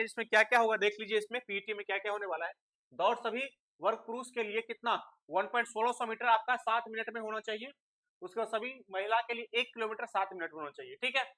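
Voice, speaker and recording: A man's voice: sits high, pushed and bright. A man explains like a coaching teacher, asking questions and answering them himself, mixing in English terms. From India. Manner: asks rhetorical questions and answers them, checks understanding. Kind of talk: instructional explanation to students.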